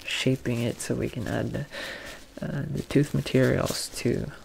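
A young man doing a soft-spoken, often whispered ASMR dentist roleplay, halting and gently reassuring, speaking close through a face mask. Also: mid-range voice, steady tone, creaky, a little hiss or hum, rough recording. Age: young adult. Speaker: ASMR performer playing a dentist. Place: small plain room indoors. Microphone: close to the mic, facing camera, through a face mask.

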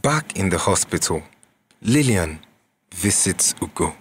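A man narrates a drama serial from a script. His voice is low and measured, with clear noise behind it. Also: sing-song.

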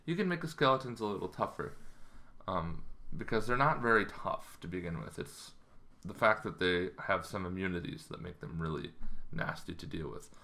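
A man in his thirties giving a casual, rambling explainer to camera, halting as he goes, in a small bedroom. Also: low-pitched, expressive, bright, forceful tone. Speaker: host of a tabletop roleplaying channel.

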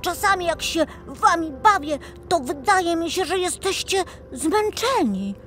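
A woman's voice: very high voice, expressive, unhurried, music in the background. A woman voicing an eager little boy of five in a children's audio tale. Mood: excited, eager. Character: eager five-year-old boy who loves adventure.

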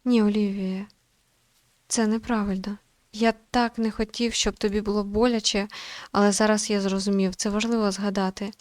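A woman reading the part of a gentle, anxious young man in a visual novel, softly and earnestly pleading. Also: medium pitch, flat delivery, normal speed, no echo, natural voice. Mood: earnest, resolved.

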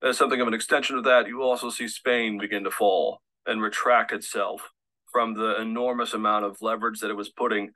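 A man's voice: on the low side, fairly even intonation, a little fast, sharp tone, rough recording. A man giving a fluent, polished recorded history lecture.

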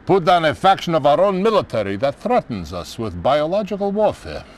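An older male actor playing a weary, sardonic Soviet general in a game cutscene, with a Russian accent and theatrical, deliberate phrasing. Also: a little high, very animated. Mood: bitter, menacing. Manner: theatrical, deliberate, pausing between short phrases. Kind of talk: dramatic game cutscene acting.

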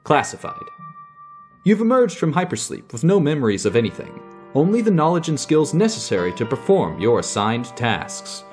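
A man's voice: mid-range voice, natural voice. Guy doing an ominous space drama intro narrator, male, very varied intonation, portentous, guitar playing behind. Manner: portentous, deliberate. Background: guitar music.

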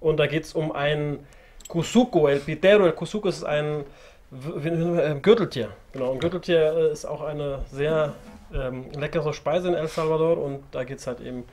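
A man's voice: fairly high pitch, normal speed. A man in his thirties explaining a folk song casually, sitting indoors with a guitar playing. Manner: explains casually, then sings. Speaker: musician. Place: indoors on a mat. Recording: loud guitar under the voice. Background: acoustic guitar.

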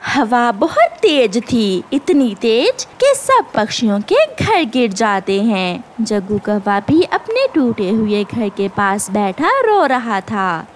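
A woman's voice: fairly high pitch, very animated, takes it slowly, few pauses. A woman narrating a scripted children's story.

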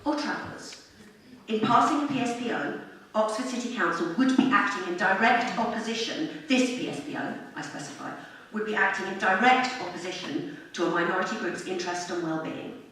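A woman's voice: mid-range voice, fairly bright tone. A middle-aged woman reads a prepared public address in an earnest, formal, articulate way. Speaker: member of the public addressing a council. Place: council meeting chamber. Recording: very echoey room, distant mic, faint noise.

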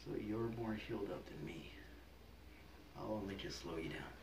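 A young man performing a hushed, half-whispered ASMR roleplay as a wounded, weary, gruff survivor in a horror story, muttering breathily. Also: low-pitched, moderate pace. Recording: roomy, distant mic, loud background noise.

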